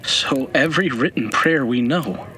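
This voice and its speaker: A man's voice: medium pitch, measured pace, bright, forceful tone, rough recording. A man playing an eager, respectful pupil in a fantasy audio drama, attentive, curious and polite. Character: eager, respectful male pupil of a lore master.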